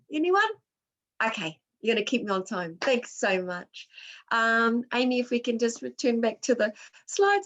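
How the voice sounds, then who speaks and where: A woman's voice: a little high, muffled recording. A woman who grew up in Australia, leading a workshop and answering questions on a video call, speaking in a reflective, personal way with Māori words mixed into her English. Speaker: workshop facilitator.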